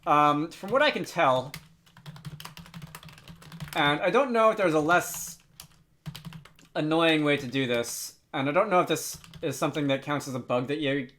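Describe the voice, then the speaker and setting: A man narrating an unscripted software tutorial, thinking aloud with frequent hesitant pauses. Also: fairly high pitch, a little hiss or hum. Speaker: tutorial host.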